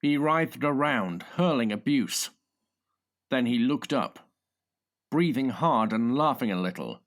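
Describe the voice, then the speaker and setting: A man narrating audiobooks in a measured, deliberate, scripted style. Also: medium pitch, lively intonation. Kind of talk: scripted dramatic and factual narration.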